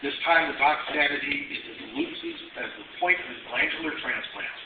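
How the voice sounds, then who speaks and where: A man's voice: on the high side, a little fast. A man giving a wry, playful reading to an audience at a bookstore event. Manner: wry and playful, relishing the campy material. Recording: tinny, distant, echoey and hissy.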